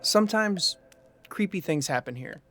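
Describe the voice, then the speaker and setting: A man's voice: fairly high pitch, normal speed, creaky. A man playing a laid-back, welcoming young game-night host in an audio drama. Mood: ominous hint. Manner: casual, relaxed hospitality. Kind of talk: naturalistic audio drama acting. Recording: music behind the voice.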